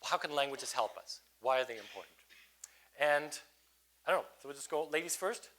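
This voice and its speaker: A man moderating a panel, introducing guests and asking questions in a relaxed, loose way with many fillers. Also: mid-range voice, moderate pace, a little hiss or hum. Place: event panel before a student audience. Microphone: microphone at a panel table.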